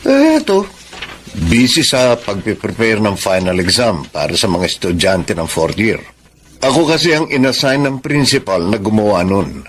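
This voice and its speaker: An actor playing a middle-aged husband and teacher with a cold, dismissive temper in a radio drama. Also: male voice, lowish voice, sing-song, normal speed, slight background noise. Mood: casual. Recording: music behind the voice.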